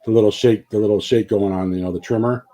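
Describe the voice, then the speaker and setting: A man in his late forties speaks in a fairly low voice in a casual group chat. His tone is dark and mellow, flat and plain-spoken. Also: fast talker. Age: 40s. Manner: flat, plain-spoken, says "freaking" a lot.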